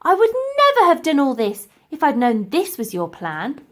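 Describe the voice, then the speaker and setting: A woman plays a fiery young girl in a children's storybook. The voice sits high and leaps about as the girl protests, defiant, indignant and brave. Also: huge pitch swings, moderate pace. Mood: furious. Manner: exclaiming, high-pitched protest. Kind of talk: children's audiobook character acting.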